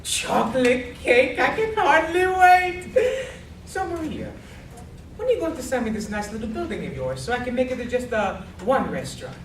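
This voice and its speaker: A woman's voice: high-pitched, very animated, normal speed. A woman acting a scheming, gleeful mob boss with theatrical sly innuendo in a stage comedy. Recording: echoey hall, far mic, noisy.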